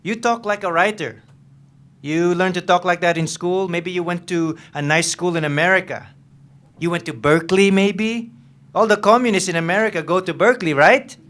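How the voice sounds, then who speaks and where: An actor playing a menacing, volatile lieutenant who taunts and needles with abrupt questions in a dramatic reading of a novel. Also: male voice, sits high, a bit bright.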